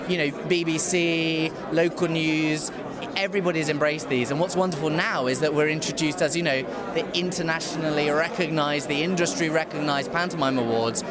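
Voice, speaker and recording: Man, slightly high pitch, effusive interviewee, emphatic listing, loud crowd chatter behind. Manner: effusive, piling up emphatic lists. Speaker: industry association chairman being interviewed. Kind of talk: enthusiastic interview talk. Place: theatre venue during red carpet arrivals.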